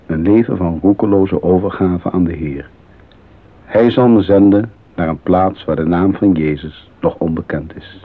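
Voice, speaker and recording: A grave, husky, resolute missionary. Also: male voice, low voice, moderate pace, dry recording. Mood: humble resolve. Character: humble, resolute male missionary writing a letter. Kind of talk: solemn radio drama monologue. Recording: narrow phone-like old radio sound.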